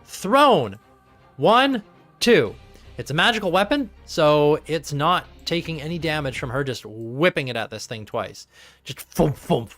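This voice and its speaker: Animated, chatty man running a tabletop game. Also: on the high side, unhurried, soft background music. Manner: animated, chatty, thinks aloud about rules. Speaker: game master. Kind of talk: narrating a live tabletop game. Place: home streaming setup.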